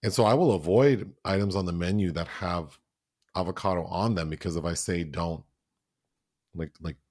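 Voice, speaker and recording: A man's voice: low voice, moderate pace, darkish tone, no echo, studio quality. A man co-hosting a podcast, talking through a film review in a casual chat full of fillers and restarts. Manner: chatty, many fillers and restarts. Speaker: podcast co-host.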